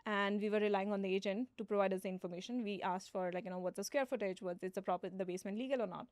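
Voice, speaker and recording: A woman's voice: medium pitch, fairly even intonation, very fast, no echo. A woman founder as a podcast guest, explaining steadily with frequent fillers. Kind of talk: conversational interview.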